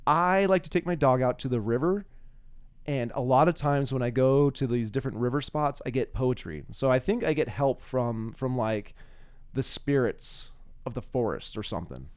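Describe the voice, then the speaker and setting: A man's voice: medium pitch, normal speed, darkish tone, smooth. A man hosting a podcast, chatting informally and laughing easily as he interviews a guest. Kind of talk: casual interview chat.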